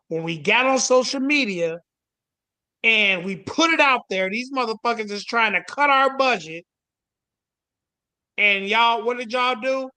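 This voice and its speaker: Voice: medium pitch, pushed and bright. A panel host speaking casually and emphatically, with plenty of profanity and street slang. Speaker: host moderating a panel call.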